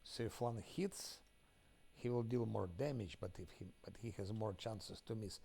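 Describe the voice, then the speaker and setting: A man chatting casually over a live game stream. His voice sits low and gravelly, and he often halts mid-sentence while searching for the right English words. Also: normal speed, mellow. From Ukraine. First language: Ukrainian.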